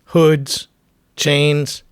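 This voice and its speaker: A man's voice: a little high, little pitch movement, extremely slow. A man narrating a scripted music documentary as its host, delivering short, punchy, dramatic phrases. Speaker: host of a music history show.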